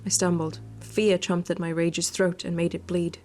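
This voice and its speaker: A woman's voice: on the low side, little pitch movement, normal speed, a little mellow. A woman hosting a visual novel playthrough, narrating the text and adding casual commentary. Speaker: gaming video host. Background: game music.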